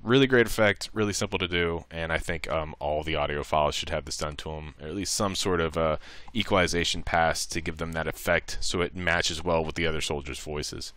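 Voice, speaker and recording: A man with a low, clear voice walks through a software tutorial in an informal way. He thinks aloud step by step, sounding bright and close in a dry space. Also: a little fast, hardly any pauses, no echo. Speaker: tutorial presenter. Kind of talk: casual screen-recorded software tutorial.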